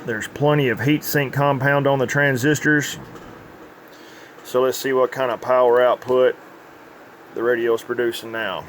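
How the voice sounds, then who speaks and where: A man with a mid-pitched voice talks through technical work in a plainspoken, informal way, like a repair technician at his bench. There is faint noise behind him. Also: steady tone, moderate pace, pauses often, a bit bright. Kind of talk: informal workbench demonstration.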